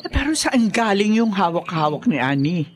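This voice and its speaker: A woman's voice: mid-range voice, very animated, moderate pace, a crowd in the background, close to an everyday voice. A woman playing a fretful old grandmother. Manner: urgent, fretful. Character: anxious older grandmother. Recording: radio drama with cricket noise.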